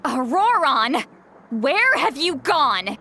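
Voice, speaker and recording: A woman voicing a fussy, sharp-tongued but caring granny shaman who sounds young, in a video game. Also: sits high, slow pace, slight background noise, heavily acted. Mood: frantic, angry. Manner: exasperated sighs, scolding, expressive. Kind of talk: video game character acting. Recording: game music behind.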